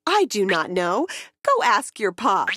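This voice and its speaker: A woman's voice: medium pitch, wildly swooping pitch, a little slow, a bit bright, slightly rough, no echo, clean studio sound, heavily acted. A woman voicing a tiny eager hopping creature in a storybook, with a bouncy, rhythmic delivery. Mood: playful.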